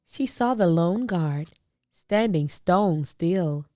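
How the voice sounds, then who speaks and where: A woman reading a children's storybook aloud, expressively, with deliberate phrasing and dramatic pauses. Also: fairly low pitch, expressive, dark tone, dry recording, clean studio sound. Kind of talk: expressive picture-book read-aloud.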